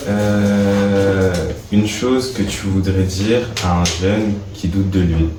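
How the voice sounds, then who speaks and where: A man's voice: deep voice, fairly even intonation, slow pace. A young man taking part in a workshop, answering interview questions earnestly and repeating his points for emphasis. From France. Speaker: workshop participant. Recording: echoey room, mic at a distance, faint background noise.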